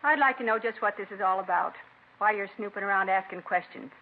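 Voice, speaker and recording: Actress as defiant female suspect, 1950s radio crime drama. Curt, indignant, rapid delivery, thin vintage sound. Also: mid-range voice, lightly acted. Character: defiant, sharp-tongued woman murder suspect. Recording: tinny vintage radio recording.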